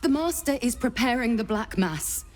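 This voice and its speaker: A woman playing a bitter, defiant vampire spawn in a video game, speaking gravely. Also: fairly high pitch, expressive, moderate pace, darkish tone, natural voice.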